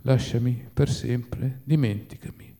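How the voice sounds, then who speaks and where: Resigned recluse from a novel acted by a male reader: ashamed, tender, supplicating, very mellow tone. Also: on the low side, moderate pace, lightly acted. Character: resigned, ashamed man withdrawn from the world.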